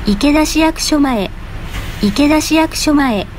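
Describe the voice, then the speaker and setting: A woman's voice: sits high, expressive, slow, a little mellow. A woman reading scripted bus stop announcements in a formal, polite, carefully enunciated way. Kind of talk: scripted public transport announcement. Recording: road and engine noise behind. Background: vehicle noise inside a bus.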